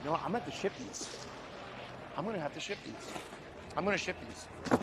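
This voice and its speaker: A man's voice: fairly high pitch, lively intonation, fast talker. Hyped man hosting outdoors, noisy street. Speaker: host. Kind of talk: casual livestream chat.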